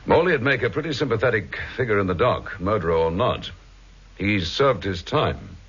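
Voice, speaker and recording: An actor playing a humane, hard-hitting Scotland Yard detective, brisk and thoughtful, in 1960s radio drama style. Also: male voice, fairly low pitch, sing-song, fairly bright tone, breathy edge, full character voice. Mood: considered. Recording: muffled vintage radio recording.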